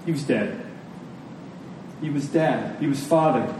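A man preaching a sermon in an earnest, emphatic manner, repeating key phrases with deliberate pauses. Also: medium pitch. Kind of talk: sermon to a congregation. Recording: very echoey room, distant mic, faint noise.

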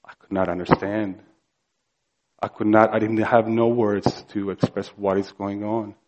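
A man from Croatia with a Croatian accent, preaching a sermon earnestly and emphatically, slow and building to fervor. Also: lowish voice, level tone, a little mellow, lo-fi sound. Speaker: pastor.

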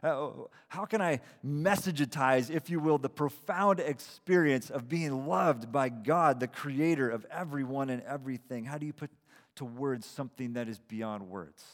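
A man's voice: medium pitch, normal speed. A man of about forty giving a casual, self-deprecating sermon as pastor, with frequent restarts. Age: 40s. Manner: conversational, self-deprecating preaching with repeated starts. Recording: long hall reverb.

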